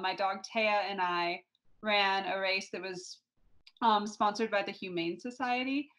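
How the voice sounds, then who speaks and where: American woman, medium-pitched, introducing herself. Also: fairly bright tone. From Snohomish, Washington, US. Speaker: academic librarian. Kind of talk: casual self-introduction.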